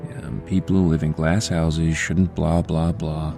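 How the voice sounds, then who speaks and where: An actor playing a cocky, hot-headed district attorney in a comic-book audio drama, husky, sardonic and impatient. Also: male voice, very deep voice, level tone, unhurried, warm tone, soft background music, full character voice. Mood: wry, impatient.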